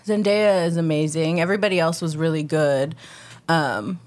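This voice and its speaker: A woman with a notably low voice chats casually as a podcast co-host. She is blunt and crude, with dry jokes. Young adult. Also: moderate pace, a little mellow. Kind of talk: casual chat.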